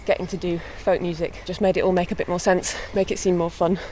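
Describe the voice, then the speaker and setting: A woman's voice: fairly low pitch, fast talker. Young woman chatting on an outdoor walk. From born in England, raised in mid Wales. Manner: relaxed, chatty, laughs lightly. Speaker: harpist and guest. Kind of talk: casual walking interview. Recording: faint outdoor noise.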